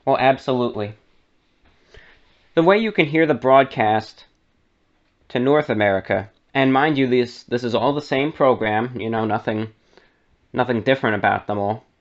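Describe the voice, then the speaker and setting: A man's voice: mid-range voice, normal speed, long pauses, lo-fi sound. A man giving a conversational, step-by-step how-to narration as the host of a radio show. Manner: conversational, step-by-step instructions with asides. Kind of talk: explanatory how-to tutorial narration.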